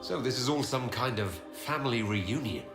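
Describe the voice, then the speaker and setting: Guy playing dry sardonic game hero, mid pitch but expressive, echoey with music under it. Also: moderate pace, close to an everyday voice. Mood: dryly surprised. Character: wry, steady heroic commander in a fantasy world. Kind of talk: dramatic fantasy game acting.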